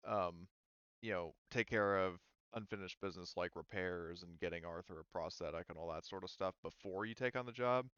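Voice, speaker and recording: Male game master, low smooth voice, informal explainer, slightly dull dry close sound. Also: brisk, a bit bright, no echo. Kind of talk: casual tabletop roleplaying game talk.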